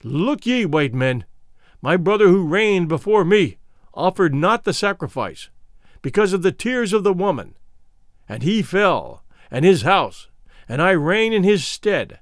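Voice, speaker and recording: A man's voice: sits high, very animated, a little slow, no room sound, studio quality, full character voice. A man playing a ruthless king who speaks in a grave, commanding way. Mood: grim.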